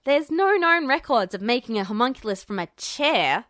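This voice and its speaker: A woman's voice: on the high side, big pitch swings, moderate pace, fairly bright tone, no room sound, clean studio sound. A woman voicing an indignant, defiant young man in an audiobook story, snappy and protesting. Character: hot-tempered young male alchemist, indignant and defiant.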